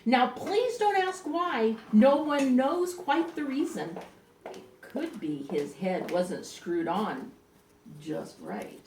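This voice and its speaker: An older woman storyteller giving an expressive, theatrical read-aloud of a rhyming children's storybook, leaning on drawn-out emphasis. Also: high-pitched, slight background noise. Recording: echoey room, recorded from a distance.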